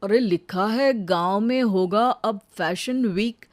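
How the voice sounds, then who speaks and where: A woman acts out a young husband in a cartoon. He is casual and teasing, with a dismissive, mocking edge. The pitch is that of an ordinary female voice. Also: takes it slowly, lightly acted. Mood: cheerful.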